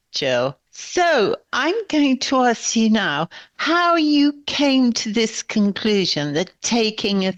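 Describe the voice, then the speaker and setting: Slow, lively older woman host. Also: lowish voice, no room sound. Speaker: podcast host, psychotherapist coach. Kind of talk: interview hosting. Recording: dry, slightly dull lo-fi mic.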